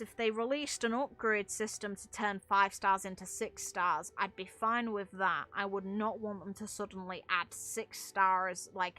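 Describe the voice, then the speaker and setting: A woman's voice: mid-range voice, pushed and bright. A woman doing casual gaming commentary as a streamer, rambling and opinionated with some casual swearing. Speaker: game streamer.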